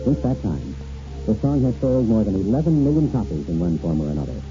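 A man's voice: on the low side, big pitch swings, fairly quick. A man delivering scripted narration as an announcer on 1940s radio. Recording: dull 1940s radio broadcast over music.

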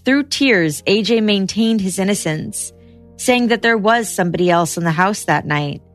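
A woman hosting a podcast, narrating a true-crime case in steady scripted storytelling. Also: medium pitch, normal speed, soft background music.

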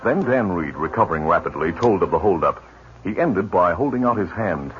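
A deep-voiced male narrator tells the story in a dramatic, lively delivery. Clear music plays behind him, and the old broadcast sounds dull and muffled. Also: a little fast. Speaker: narrator and announcer. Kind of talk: scripted radio narration.

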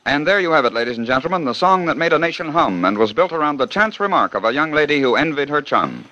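Male 1940s radio narrator, quick crisp delivery, dull vintage sound. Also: on the high side, expressive, music in the background. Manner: brisk announcer delivery. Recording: dull old radio recording.

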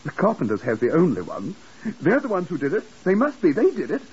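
A man's voice: fairly high pitch, wildly swooping pitch, a little fast, a little mellow, slightly rough, exaggerated voice. An actor playing a flustered, insistent hotel man, fussy and deferential, in a 1950s radio drama. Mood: agitated, insistent. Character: fussy, excitable hotel man, jumping to conclusions. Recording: muffled, hissy 1950s radio recording.

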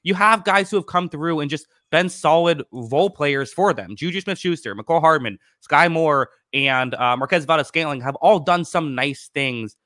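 Bright-voiced man, dry close sound. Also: on the high side, moderate pace, hardly any pauses, no echo. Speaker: sports podcast co-host. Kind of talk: conversational American football analysis.

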